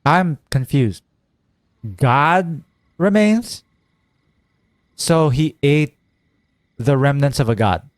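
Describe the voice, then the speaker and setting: A man's voice: a little high, animated, extremely slow, long pauses, no echo. A man giving casual, quippy, joking gameplay commentary as a streamer. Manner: quippy, playful. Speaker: game streamer. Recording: dry mic, faint game music behind.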